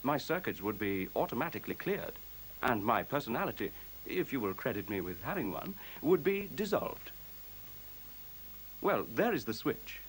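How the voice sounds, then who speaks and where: A man's voice: medium pitch, big pitch swings, natural voice, low-quality recording. Serene, articulate machine intelligence, acted by a man, swooping intonation. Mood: calmly reasoning. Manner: measured, formal, articulate, pedantic. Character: newborn machine intelligence, male-voiced, serene, superior, coolly logical. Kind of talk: 1970s science fiction radio play acting. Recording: faint hiss, 1970s radio recording.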